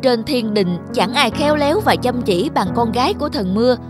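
A man playing a wind god who serves heaven, formal and forceful, in a cartoon fairy tale. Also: very high-pitched, animated, a little fast, over music. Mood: deferential. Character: male wind god, deferential courtier, harsh enforcer. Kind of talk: animated fairy tale acting.

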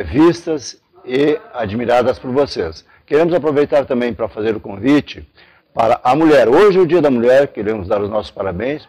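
An older man, giving a formal, halting invitation to camera. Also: medium pitch, gravelly, a little mellow, husky. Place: large indoor hall.

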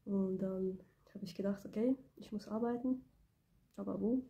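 A young woman from Ukraine speaks with a mellow, slightly gravelly voice, kept low because she has a cold. She talks softly and hesitantly, pausing with fillers, straight into a phone camera in a small indoor room. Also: steady tone. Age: 20s. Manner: soft-spoken, hesitant, many fillers. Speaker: beauty business owner. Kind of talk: casual personal vlog. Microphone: phone camera close up.

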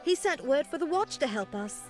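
A woman's voice: high voice, very animated, normal speed, a little mellow, faint music behind. An actress playing a distressed, folksy village woman telling of bandit raids in a fantasy game. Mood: plaintive, aggrieved.

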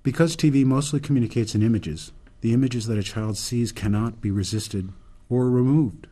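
A man acting in a radio drama as an earnest older reformer, delivering a deliberate, solemn, speechifying monologue. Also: medium pitch, mellow, lightly acted. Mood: grave, urgent. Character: earnest older male reformer laying out a grave case.

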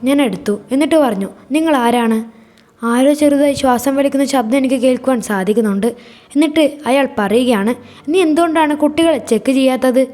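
A woman tells a first-person horror tale as its narrator. Her voice sits somewhat high and has a very mellow, relaxed tone. She reads in a measured, suspenseful manner over faint music. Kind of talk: scripted first-person storytelling.

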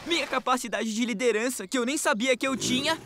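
A woman's voice: sits high, quick pace, noisy background, lightly acted. A woman voicing a naive, earnest male delivery motorbike in a children's cartoon, self-important and easily deflated. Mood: proud.

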